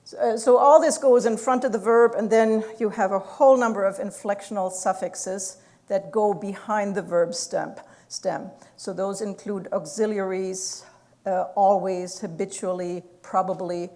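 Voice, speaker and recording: A woman university lecturer giving an academic talk, explaining things at length with frequent "uh" fillers and an occasional soft laugh. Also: mid-range voice, fairly even intonation, unhurried.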